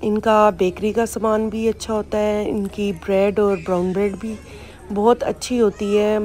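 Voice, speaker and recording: A woman's voice: medium pitch, level tone, moderate pace, a little mellow. A woman, chatting casually through a grocery run and explaining products and prices as she films on her phone. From Pakistan. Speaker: vlogger. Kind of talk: casual vlog narration. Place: supermarket, indoors.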